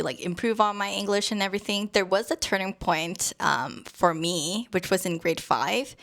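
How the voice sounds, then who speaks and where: A young woman whose first language is Cantonese, chatting casually as a co-host and telling stories softly, with many pauses and fillers. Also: on the low side, gravelly. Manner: soft, hesitant storytelling with many fillers. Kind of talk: casual chat.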